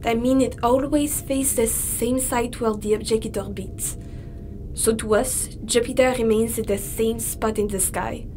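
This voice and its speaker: Woman playing a curt, high-voiced scientist astronaut. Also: fairly even intonation, a little slow, mellow, slight background noise, close to an everyday voice, poor audio. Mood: dry, explanatory. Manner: curt, dry, matter-of-fact. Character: aloof, work-absorbed female scientist astronaut. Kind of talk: science fiction audio drama acting.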